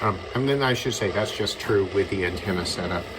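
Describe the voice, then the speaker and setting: Low-voiced man over loud radio static. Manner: halting, thinking aloud in short phrases. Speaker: radio hobbyist demonstrating receivers. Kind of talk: unscripted hands-on demonstration commentary. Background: shortwave radio noise and tuning static.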